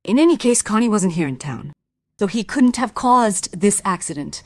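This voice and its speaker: Anxious, insistent wife played by an actress, dry sound. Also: female voice, mid-range voice, animated, normal speed, a little mellow, dry recording, natural voice. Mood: disbelieving. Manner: insistent, pleading, questioning. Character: anxious musician's wife doubting her husband's innocence. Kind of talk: dramatic radio play dialogue and monologue.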